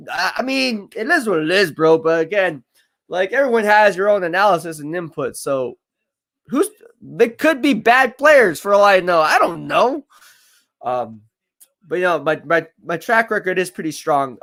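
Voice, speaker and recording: A man with a high, bright-sounding voice runs a football live stream. He talks quickly and energetically, piling up fillers and repeated phrases as he breaks down plays. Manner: energetic, fast-talking, full of fillers and repeats. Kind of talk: casual sports analysis livestream.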